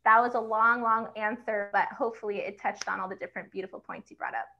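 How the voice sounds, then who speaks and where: A young woman author with a high voice, speaking outspokenly and laughing often in a book event conversation. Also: steady tone, quick pace, highs cut off.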